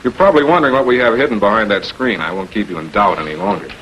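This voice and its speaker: An American actor playing a shrewd public prosecutor in 1940s television crime drama style, questioning in a deliberate, authoritative way. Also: male voice, on the low side, a bit bright, close to an everyday voice. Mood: measured, in control. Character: shrewd, composed male public prosecutor confronting murder suspects. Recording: noisy 1940s film soundtrack.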